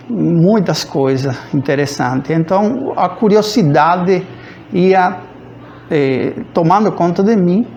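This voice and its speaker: Male professor, about 50, Cuban accent, Spanish native. Slightly high, creaky voice, informal storytelling with searching pauses. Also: darkish tone, muffled recording, a little hiss or hum. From rural central Cuba. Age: around 50. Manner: hesitant, searching for words with frequent pauses. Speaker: physics professor. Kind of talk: informal autobiographical account.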